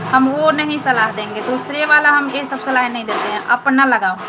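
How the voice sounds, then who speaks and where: A woman's voice: high-pitched, moderate pace. A woman from Patna, chatting casually with viewers on a live stream, repeating herself and checking that they understood. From Patna, Bihar. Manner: chatty, repetitive, keeps asking "understood?". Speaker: live-stream host answering viewer comments.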